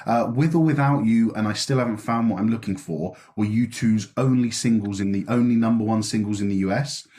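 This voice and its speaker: Deeper voiced guy on a music podcast, chatty, rattles off facts, lots of "isn't it". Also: fairly quick, mellow. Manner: chatty, asks tag questions, lists facts. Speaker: podcast co-host. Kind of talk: casual chat.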